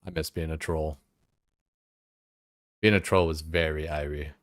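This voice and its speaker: A man's voice: very deep voice, fairly even intonation, measured pace, fairly bright tone, no room sound, studio quality. A young man streaming a game, chatting casually in a rambling way, full of fillers and swearing. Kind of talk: casual chat while playing.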